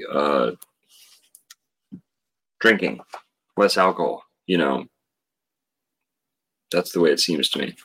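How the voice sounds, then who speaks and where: A man hosting a livestream, talking slowly and hesitantly with fillers as he reads viewer messages. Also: low voice, a bit bright. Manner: slow, hesitant, rambling with fillers. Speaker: host reading super chats. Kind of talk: casual livestream chat.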